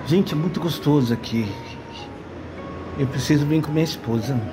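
A man's voice: a little high, moderate pace, background noise. An older man with a Brazilian accent, chatting casually to viewers on a phone camera in a cat café, delighted by the cats. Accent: Brazilian Portuguese. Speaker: vlogger. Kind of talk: casual vlog chat. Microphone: phone held at arm's length. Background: background music.

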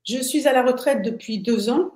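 A woman of sixty-six gives a personal account in conversation, often halting to find her words. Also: on the high side, darkish tone. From Paris, France. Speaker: interview guest, retiree. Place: indoors, plain room on video call. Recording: roomy, echoing video call.

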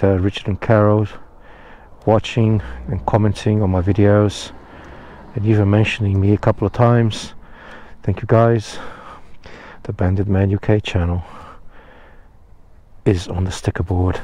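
Man vlogging outdoors, low mellow voice, rambling and self-deprecating, dry close sound. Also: unhurried, dry recording. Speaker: motorcycle vlogger. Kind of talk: casual vlog talk to camera. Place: outdoors by a roadside phone box. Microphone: head-mounted action camera.

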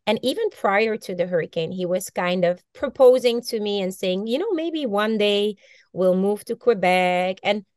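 A woman in her forties, a native Dutch speaker, telling her story with animated gestures over a video call from a bright room at home. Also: mid-range voice, normal speed, no echo, studio quality. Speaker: guest, mindset coach. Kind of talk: casual video-call interview. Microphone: video call laptop mic.

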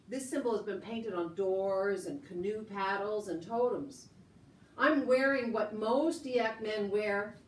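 A woman's voice: medium pitch, a little hiss or hum, natural voice. Echoey, playful puppet chief voiced by a woman. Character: bashful yet boastful, playful Eyak chief puppet. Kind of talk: educational puppet show monologue. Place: indoor room, puppet stage. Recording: echoey room, slightly distant mic.

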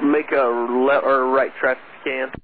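A man's voice: mid-range voice, flat delivery, a little slow. A man working as an air traffic controller, giving plain-spoken procedural instructions to pilots over aviation radio. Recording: tinny narrowband radio with static. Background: radio noise.

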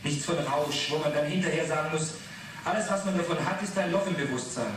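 A male actor plays an irritable man being questioned in a 1980s radio drama. He explains himself in a guarded, testy way, his voice a touch high. The sound is distant and heavily echoed. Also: brisk, background noise, lightly acted. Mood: defensive, earnest. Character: defensive, irritable male club member under questioning. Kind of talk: 1980s German radio play acting.

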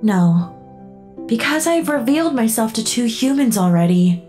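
A woman voicing a haughty, naive alien girl in a cartoon, with formal, deliberate phrasing. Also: fairly high pitch, lively intonation, a little mellow, soft background music, natural voice. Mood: curious, earnest. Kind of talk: animated comedy dialogue.

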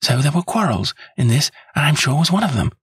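An earnest young woman , as a male reader puts her on, insistent and pleading, her voice lifted high above his own. It is breathy and husky, close and dry, with no room around it. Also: big pitch swings, brisk, no room sound, heavily acted. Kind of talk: audiobook character voice.

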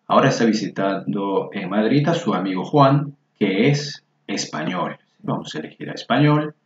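A man's voice: fairly low pitch, darkish tone. A man teaching a Spanish lesson over a screen recording, patiently spelling out each word form aloud. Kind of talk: slow screen-share lesson walkthrough. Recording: roomy, dull lo-fi recording.

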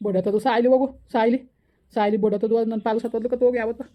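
A woman performs a bitter, heartbroken parent in an amateur village melodrama, with a rural southern Karnataka accent. The delivery is rapid and fairly high, a lament built on emphatic repetition. It is recorded with a dull, lo-fi sound. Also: level tone, close to an everyday voice. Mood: bitter, angry.

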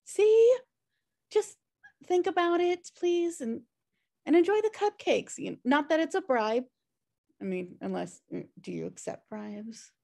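A woman's voice: sits high, lively intonation, unhurried, creaky, darkish tone, heavily acted. A woman playing a messy, guilt-ridden, wry sister in a sitcom table read, rambling hesitantly. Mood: eager, coaxing. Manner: rambling, hesitant, self-deprecating. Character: messy, guilt-ridden, wry younger-at-heart woman pleading for her brother. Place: home room on a video call.

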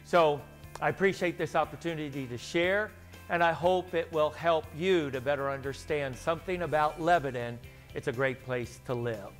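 An American man in his sixties from Baltimore, a pastor, giving a personal testimonial to camera in a measured, halting way with pauses. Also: on the high side. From Baltimore, United States. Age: 60s. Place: indoors by a window. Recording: music behind the voice. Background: instrumental music.

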